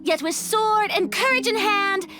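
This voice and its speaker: A woman's voice: very high-pitched, a little slow. An actress playing an earnest, theatrical young woman in an English-dubbed anime game, with a girlish, sometimes hesitant delivery. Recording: music behind the voice.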